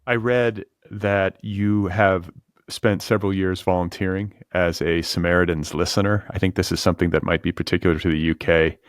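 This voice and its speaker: A man's voice: low voice, fairly even intonation, moderate pace, dry recording, clean studio sound. An American man hosting an interview podcast in a casual, earnest, conversational way. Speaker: podcast host.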